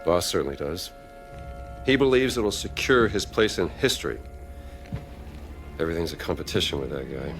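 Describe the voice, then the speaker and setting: A rugged adventurer archaeologist for a game cutscene. His voice is deep, and he speaks with dry, understated wit. Music plays clearly behind him. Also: animated, normal speed, pauses often, fairly bright tone. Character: rugged, wry adventurer archaeologist, middle-aged man. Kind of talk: video game cutscene acting.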